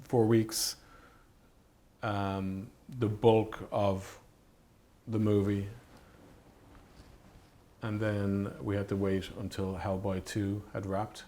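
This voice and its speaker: A man speaking as a film director in an interview, reminiscing about his work in halting, hesitant phrases. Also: on the low side, flat delivery, mellow, a little hiss or hum. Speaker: film director and effects artist being interviewed.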